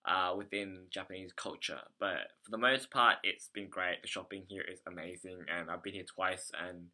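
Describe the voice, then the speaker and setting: This is an Australian man with a fairly low voice that has a very bright, forward edge. He talks as if recording a personal video diary, unscripted and hesitant. He tends to ramble and rework his phrases as he goes. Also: fairly even intonation, normal speed. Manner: hesitant, rambling, self-correcting. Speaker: vlogger, English teacher abroad.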